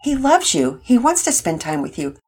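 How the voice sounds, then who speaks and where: Woman as a gentle devout mother. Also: fairly high pitch, big pitch swings, moderate pace, slightly rough, voice pushed up. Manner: gentle, hesitant.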